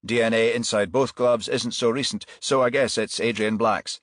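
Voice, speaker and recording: A man voicing a matter-of-fact detective inspector in an audiobook, briskly reporting forensic news to a colleague. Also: mid-range voice, steady tone, no room sound, studio quality, natural voice. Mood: pleased, factual.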